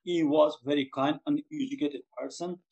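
A man from Afghanistan speaks with a gravelly, fry-edged voice. He answers as a student, halting often with fillers but staying earnest. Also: fairly high pitch, steady tone, a little mellow, muffled recording. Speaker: ESOL student. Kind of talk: podcast interview answers.

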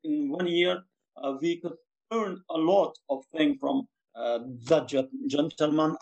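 A man from Afghanistan speaks with a gravelly, fry-edged voice. He answers as a student, halting often with fillers but staying earnest. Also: a little high, a little mellow, dull audio. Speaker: ESOL student. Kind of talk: podcast interview answers.

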